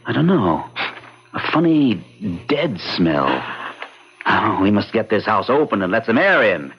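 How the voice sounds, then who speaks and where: An actor playing a practical gentleman troubled by sorrow in an old-time radio drama, with deliberate, theatrical, broken phrasing. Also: fairly low pitch, very animated, husky, full character voice. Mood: bewildered sadness. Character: practical gentleman newcomer, weighed down by unexplained sorrow. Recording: muffled, lo-fi old radio recording.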